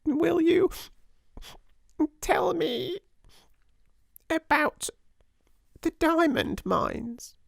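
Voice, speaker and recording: A man's voice: very high voice, lively intonation, extremely slow, gravelly, pauses often, no echo, clean studio sound, pitched above the performer's own voice, heavily acted. A man reading a storybook, voicing a small spoiled girl who wails and sobs in a whiny, tearful voice. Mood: whimpering. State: sobbing, wailing.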